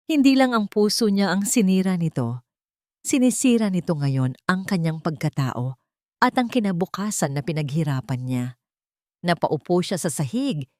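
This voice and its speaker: Lady narrating a romance drama, lowish voice, super dry sound. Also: darkish tone, no echo. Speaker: story narrator. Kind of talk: dramatic scripted narration of a love story.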